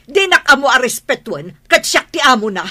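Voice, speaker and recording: A woman's voice: sits high, very animated, normal speed, pushed and bright, full character voice. An actress with a Filipino Ilocano accent playing a haughty, domineering mother and mistress of the house in a radio drama, scolding and imperious. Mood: irritated, scolding.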